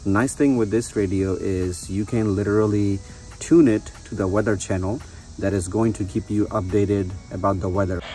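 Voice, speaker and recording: A man's voice: on the low side, fairly even intonation, normal speed, dark tone. A man in his thirties giving a casual product review outdoors, explaining features conversationally and repeating himself. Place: outdoors on a residential front porch.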